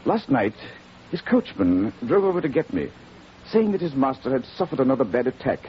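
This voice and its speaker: An actor playing a worried, earnest country physician, speaking formally and a little hesitantly in 1940s radio drama style. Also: male voice, medium pitch, big pitch swings, brisk, lightly acted. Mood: grave, urgent. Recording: dull, hissy old radio recording.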